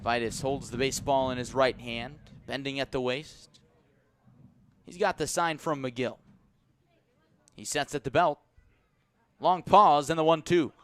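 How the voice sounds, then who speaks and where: A man calling live baseball play-by-play for the radio in a measured, descriptive way. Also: medium pitch. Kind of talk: live radio sports commentary.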